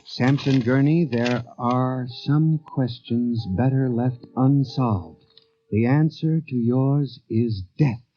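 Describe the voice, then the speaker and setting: An actor playing an earnest, anxious office statistician, with intense, dramatic delivery in the style of 1950s radio drama. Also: male voice, medium pitch, slow pace, mellow, no echo, faint music behind, lightly acted. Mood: haunted. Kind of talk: 1950s radio drama acting, first-person narration. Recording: muffled vintage 1950s radio recording.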